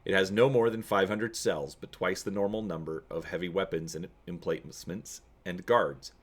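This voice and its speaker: Man, low pitch, dramatic story narration, expressive reader. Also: moderate pace. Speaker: reader and host of a gamebook read-through. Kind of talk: dramatic reading of an adventure story.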